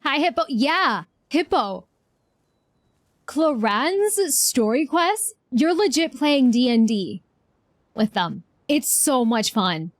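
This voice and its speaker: A woman's voice: high-pitched, big pitch swings, slow, no room sound. A woman livestreaming a game, chatting casually with viewers in an effusive, exclamatory way. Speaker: game livestreamer reacting to a quest. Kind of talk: casual livestream chat and reactions.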